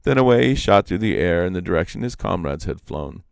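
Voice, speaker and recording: A man reading a story aloud live as an expressive storyteller. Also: low-pitched, a little fast, vocal fry, smooth, no room sound, clean studio sound. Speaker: storyteller, reader.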